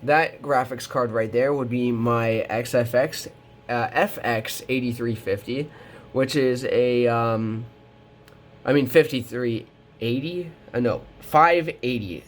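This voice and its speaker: A man's voice: medium pitch, slow pace. A teenage boy vlogging from his bedroom, nasal from a cold, rambling with lots of ums and restarts as he moves around the camera mic. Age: teens. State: nasal, getting over a cold. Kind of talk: casual vlog.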